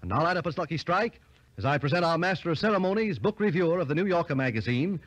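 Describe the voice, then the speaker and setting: A man's voice: on the high side, lively intonation, fast, fairly bright tone, no room sound, highs cut off. An American man reading a scripted 1940s radio sponsor announcement. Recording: old 1940s radio broadcast.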